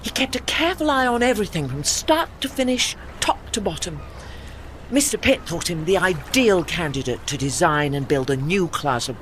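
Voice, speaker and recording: An actor voicing a proud, ageing warship in an audio play, with formal, dry, witty and faintly pompous diction. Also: male voice, on the high side, a bit bright, slight background noise.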